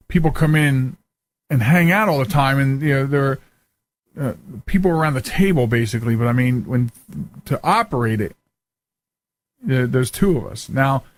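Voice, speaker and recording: A man's voice: medium pitch, no room sound. A man hosting a radio interview in a conversational, rambling way, circling back and repeating phrases. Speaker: radio show host.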